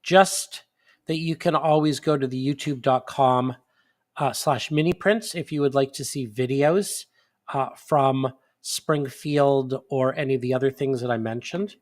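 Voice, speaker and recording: A man's voice: medium pitch, measured pace. A man hosting a monthly live stream in casual chat, sniffly, rambling with fillers and restarts.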